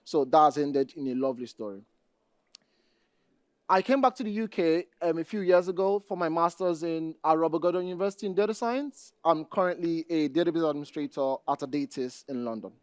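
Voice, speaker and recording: A man with a Nigerian accent giving a conference presentation, speaking deliberately and addressing the audience directly. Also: on the high side, clean tone, dry recording, clean studio sound. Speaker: conference speaker, database administrator.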